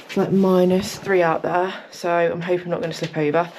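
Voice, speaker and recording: A young woman, chatting casually to her camera as a cycling vlogger, rambling and talkative, her voice a bit croaky from just waking up. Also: lowish voice, little pitch movement, a little fast, a little mellow, slight background noise. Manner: chatty, rambling, talks a lot. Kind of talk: casual vlog chat to camera. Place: home, then outdoors on a walk.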